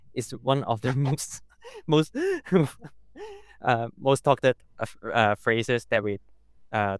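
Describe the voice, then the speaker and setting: A man with a Hong Kong accent, a native Cantonese speaker, talks in a casual, hesitant way, full of fillers and restarts. His voice is clear and smooth at a middle pitch. The recording is dry and close. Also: no room sound. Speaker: podcast guest. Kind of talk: casual interview talk.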